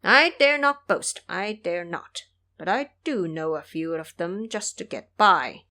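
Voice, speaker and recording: A woman's voice: on the low side, lively intonation, measured pace, sharp tone. A woman voicing a boastful, foolish male pig monk with a brash, bragging manner in an audio drama.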